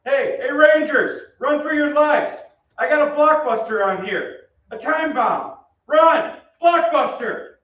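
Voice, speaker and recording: A man's voice: very high voice, slow, a bit bright, full character voice. A man playing a brash, folksy, cocky American soldier in an old-time radio style stage show. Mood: urgent, alarmed. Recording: echoey stage, distant mic, muffled, faint noise.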